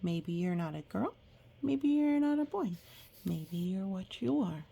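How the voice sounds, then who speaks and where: Husky, unhurried woman reading a storybook aloud. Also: medium pitch. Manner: expressive, exclaiming and gasping in reaction to pictures; gentle explaining to young children. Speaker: host reading a picture book aloud. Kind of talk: storybook read-aloud with chatty asides.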